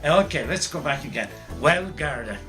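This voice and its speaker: Male drama director, high and forceful, blunt short instructions, faint music behind. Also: lively intonation, moderate pace. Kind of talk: directing actors in a recording session.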